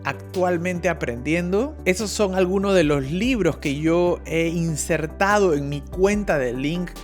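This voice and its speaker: Male podcast host, fairly high voice, friendly and halting, soft music beneath. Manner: friendly, hesitant with many fillers. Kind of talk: podcast interview and product intro.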